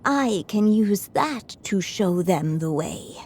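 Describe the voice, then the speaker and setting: A woman voicing a video game character, a gentle late mother and storyteller lingering as a magical echo, measured, tender and earnest. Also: mid-range voice, animated, a little hiss or hum. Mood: earnest, hopeful. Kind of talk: scripted video game voice acting. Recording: music under the voice. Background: music.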